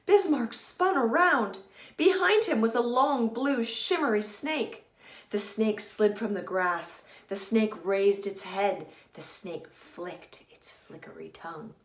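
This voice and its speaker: A woman author reading a children's story aloud in a deliberate, teacherly, emphatic way. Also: a little high. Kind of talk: expressive children's storybook narration. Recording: echoey room.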